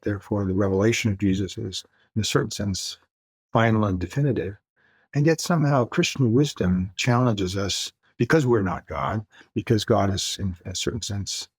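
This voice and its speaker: A man's voice: fairly low pitch, a little mellow, husky, dry recording. An older man from the Far East, first language Mandarin, talking reflectively as a guest author in a podcast interview, searching for words with light laughs.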